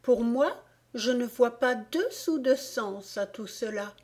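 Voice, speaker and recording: A woman's voice: fairly high pitch, takes it slowly, a little mellow, voice pushed up. A woman voicing a bold, cheeky, outspoken girl in a storybook, frank and defiant. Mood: sceptical.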